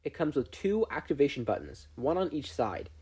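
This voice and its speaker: A performer doing an eager, excitable teen boy, soft-edged and husky. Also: mid-range voice, moderate pace. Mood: earnest, explaining. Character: excitable young teenage boy inventor, nervous but eager.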